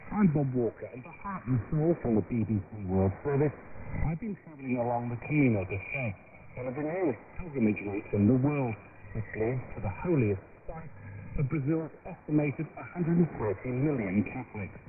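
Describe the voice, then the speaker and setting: A man's voice: mid-range voice, animated, brisk. A man reading a scripted trailer as a documentary presenter, over a shortwave radio broadcast. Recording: noisy narrow-band shortwave radio broadcast.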